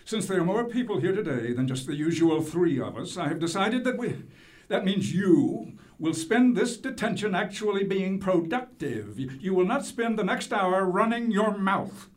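An actor playing a stern, sardonic high school teacher in a radio drama, speaking deliberately and with command. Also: male voice, expressive. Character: stern, dry, world-weary male high school teacher supervising detention.

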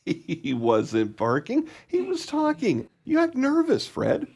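Man as a folksy old caretaker, lively pitch. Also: takes it slowly, creaky, slightly rough, exaggerated voice. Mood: genial. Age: older. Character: kindly, talkative old country caretaker. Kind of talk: radio play acting.

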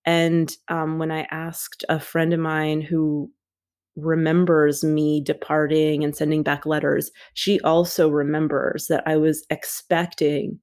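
Woman author guest, low and even-toned, unhurried and reflective. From United States. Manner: thoughtful, measured, pauses often. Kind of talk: reflective interview talk.

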